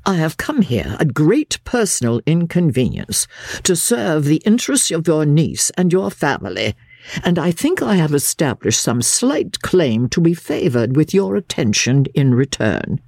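A man's voice: a little high, sing-song, measured pace, vocal fry, darkish tone, no echo, clean studio sound, voice pushed up, full character voice. A man voicing a stern, self-controlled but exasperated lawyer in an audiobook, speaking formally and insistently. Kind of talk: dramatised audiobook character voice.

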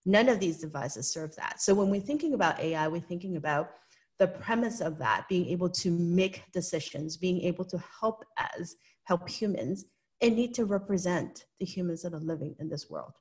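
A woman's voice: fairly low pitch, moderate pace, a little mellow. Hong Kong-accented woman, earnest and explanatory. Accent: Hong Kong English. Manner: earnest, explanatory, often adds a tag "right?". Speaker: investor, interview guest. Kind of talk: conversational interview answers.

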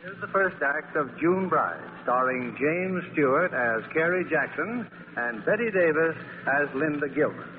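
A man's voice: a little high, lively intonation, a little slow, narrow, tinny audio, very noisy. A man hosting and narrating a 1940s radio theater broadcast in a formal, scripted style. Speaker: radio producer and host. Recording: thin 1940s radio, music behind.

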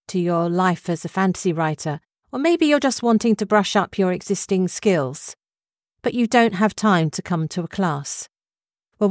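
A woman's voice: medium pitch, no echo. British woman reading a promo, dry recording. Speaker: presenter promoting a course. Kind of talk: scripted promotional narration.

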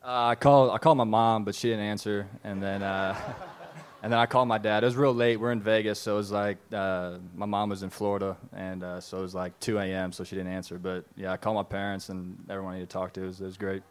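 A man's voice: lowish voice, flat delivery, quick pace, a little hiss or hum. A young man on a panel giving rambling, filler-heavy answers about his first game. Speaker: baseball player panelist.

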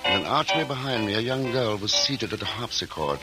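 An actor playing a composed male traveler who narrates his journey in a 1940s radio drama, measured and reflective. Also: fairly low pitch, lively intonation, natural voice. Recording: old radio broadcast with music.

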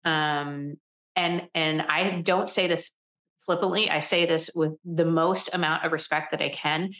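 Candid, lower-pitched woman guest. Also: measured pace. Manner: candid, animated, laughs easily, repeats words when restarting. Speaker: podcast guest, leadership coach. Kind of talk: conversational interview.

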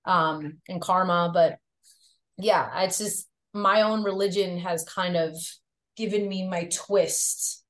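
A woman's voice: lowish voice, steady tone, takes it slowly, a bit bright. Chatty woman with a faint Long Island accent. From Long Island, New York. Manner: chatty, heavy use of "like". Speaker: podcast guest. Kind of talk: casual interview chat. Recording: roomy echo.

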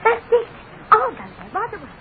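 A woman's voice: very high voice, wildly swooping pitch, brisk, exaggerated voice. An actress playing an anxious, pleading young woman in an earnest old-time radio drama style. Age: young adult. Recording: narrow-band old radio recording, faint noise.

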